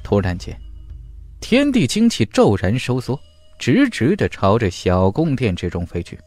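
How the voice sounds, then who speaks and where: Male storyteller for fantasy audiobook: dramatic, expressive delivery, close dry sound over a music bed. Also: mid-range voice, a little slow, no echo. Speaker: audiobook narrator. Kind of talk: dramatic scripted fantasy narration.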